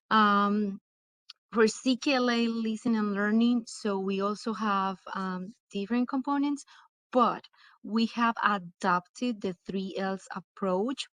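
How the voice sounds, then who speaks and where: A woman presenting in a webinar as an educator, explaining step by step with pauses inside her phrases. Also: medium pitch, dry recording. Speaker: dual language program educator presenting.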